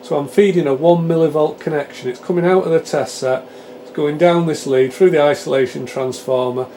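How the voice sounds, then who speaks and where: A British man with a mellow, relaxed voice presents a hands-on technical demonstration. He talks in a chatty, explanatory way with halting phrasing. Faint room noise sits behind his voice. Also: on the high side. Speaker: presenter demonstrating radio repair equipment.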